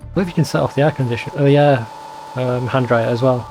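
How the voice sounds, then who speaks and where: Male let's player, relaxed dark tone, rambling casual commentary, light music in the background. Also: medium pitch, quick pace, rough recording. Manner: rambling, conversational, swears casually. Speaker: let's play host.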